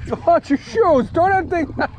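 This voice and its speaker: A man's voice: extremely high pitch, normal speed. A man chatting and joking while fishing from a small boat outdoors, swearing freely, recorded on an action camera. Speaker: fishing vlogger. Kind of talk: casual chat while fishing. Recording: wind and boat noise behind.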